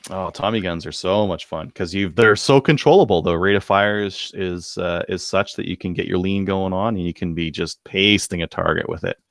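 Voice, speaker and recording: A man's voice: low voice, normal speed, vocal fry, few pauses, no echo, studio quality. A man co-hosting a podcast, chatting casually and jokingly in a laid-back way. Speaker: podcast co-host. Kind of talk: casual banter about shooting and gear.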